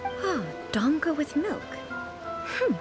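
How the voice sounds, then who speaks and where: A woman playing a calm, imperious female deity ruler in a video game, speaking in a formal, measured, matter-of-fact way. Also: high voice, full character voice. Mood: aloof, calm. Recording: game music behind.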